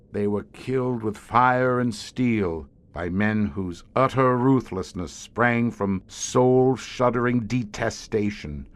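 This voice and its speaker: Slow, low-voiced grim monster hunter, played by a man. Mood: grim, dread-filled. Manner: ornate, deliberate, dramatic storytelling with wry asides. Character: grim, haunted male police monster-hunter scientist in horror tale. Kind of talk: audio horror drama acting.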